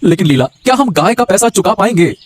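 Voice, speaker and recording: Meek cartoon villager, high voice, very expressive pitch, hesitant, apologetic, pleading, light music. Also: male voice, brisk. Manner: hesitant, pleading, repeats phrases. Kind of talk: melodramatic Hindi cartoon voice acting.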